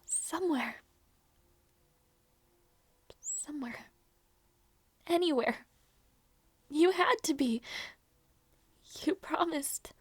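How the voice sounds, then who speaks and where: A woman playing a grieving, devoted young princess in a dramatic audio roleplay, halting and emotional, with a breathy, whispery delivery. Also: sits high, expressive, no echo, full character voice. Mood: mournful. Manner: halting, repeats phrases, emotional.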